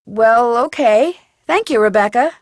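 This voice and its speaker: A woman's voice: on the high side, lively intonation, unhurried, no echo, studio quality. A woman voicing a polite, plucky young amateur detective in a video game, matter-of-fact and well-mannered. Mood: friendly, polite. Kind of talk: video game character dialogue.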